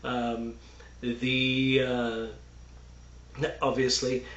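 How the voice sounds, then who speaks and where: Texas-raised man, mid pitch, halting unscripted explainer, dull roomy sound. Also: monotone, a bit bright. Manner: halting, many fillers and restarts, explains with "okay" and "right". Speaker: religious commentator. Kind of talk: unscripted video monologue.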